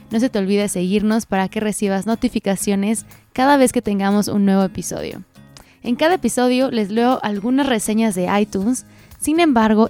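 A woman's voice: mid-range voice, dark tone. A woman with a Mexican accent hosting a podcast, chatting in an upbeat, casual way. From central Mexico. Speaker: podcast host. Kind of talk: casual interview chat.

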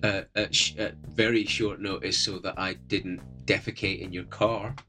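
A man with a soothing voice, talking in a relaxed, friendly way as a podcast guest. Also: low-pitched, normal speed, soft background music. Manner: relaxed, friendly, gently humorous. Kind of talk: casual podcast chat.

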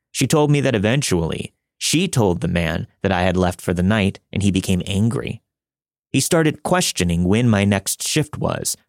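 A man with a fairly low voice works as a podcast host and narrator. He delivers scripted material in a storytelling style. The recording is dry, with very little room in it. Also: no echo. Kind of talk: scripted first-person story narration and host-read promo.

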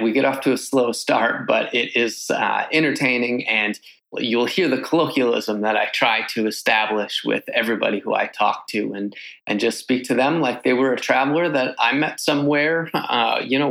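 Gravelly, easygoing man from New York. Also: mid-range voice, fairly bright tone, rough recording. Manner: loose, chatty, many fillers. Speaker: podcast host, here a guest. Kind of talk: casual conversational intro and interview.

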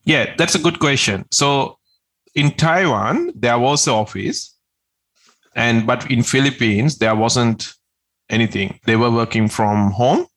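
A man's voice: medium pitch, a little slow, a bit bright. A man from Sri Lanka, a tax agent answering interview questions in an earnest, step-by-step way.

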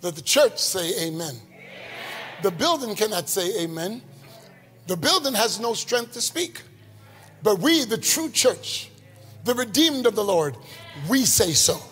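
High-voiced preacher in echoing hall. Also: male voice, very animated, a little slow, pauses often. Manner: rhythmic call-and-response preaching, rising to shouts. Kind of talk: sermon. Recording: long hall reverb, faint music.

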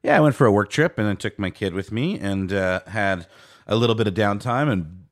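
A man's voice: lowish voice, a little fast, no room sound, studio quality. A man co-hosting a podcast in casual chat, his voice sleepy, rambling with fillers and restarts. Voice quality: sleepy-sounding voice. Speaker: podcast co-host.

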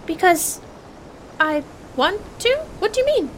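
Tiny, well-mannered adventurer for a tabletop game, played by a woman; squeaky-high, earnest, haltingly bluffing, faint rumble behind. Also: exaggerated voice, poor audio. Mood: hesitant, innocent. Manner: halting, courteous, stalling. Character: small polite earnest adventurer, nervously bluffing past guards. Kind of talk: in-character tabletop role-play. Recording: steady low background rumble and hiss.

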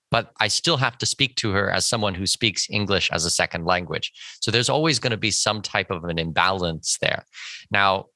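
A man from Canada hosts an interview with a bright, forceful voice. He talks in a dry, close sound and works through his ideas in long, hedged sentences. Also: on the low side, no echo. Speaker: podcast host. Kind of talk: conversational interview.

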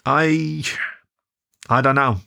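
A woman's voice: extremely low pitch, very slow, gravelly, sharp tone, voice pushed up, exaggerated voice. A man voicing an anxious, hurt young female dog who speaks, pleading and frustrated. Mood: subdued.

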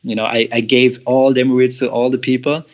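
A man's voice: mid-range voice, little pitch movement, fairly quick, creaky. Man, young adult, hesitant storyteller, muffled lo-fi sound. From Germany. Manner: hesitant, repeats words, laughs often. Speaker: guest. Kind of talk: storytelling in an interview.

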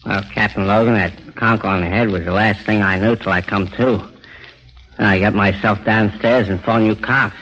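An actor playing an uneasy American flophouse innkeeper with hesitant, slangy speech in a 1940s radio crime drama. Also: male voice, on the low side, steady tone, moderate pace, a bit bright, natural voice. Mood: slangy, matter-of-fact. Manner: hesitant, folksy tough slang. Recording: muffled 1940s radio recording.

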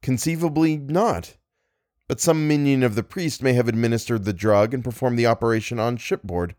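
A man voicing a calm, compassionate gentleman who reasons steadily with a frightened friend. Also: medium pitch, moderate pace, dry recording, clean studio sound, natural voice. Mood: reasoning.

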